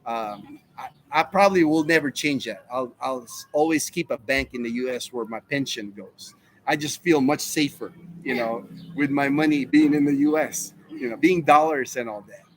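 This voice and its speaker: A man's voice: medium pitch, normal speed, slight background noise, poor audio. A man in his fifties, from the United States, hosting a casual live Q&A stream and rambling through answers to chat questions. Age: 50s. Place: home in the Philippines.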